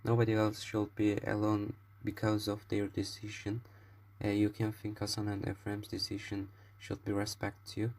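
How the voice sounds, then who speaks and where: A man's voice: on the low side, monotone, moderate pace, darkish tone. A teenage male student with a Turkish accent, giving a prepared opinion statement. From Turkey. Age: teens.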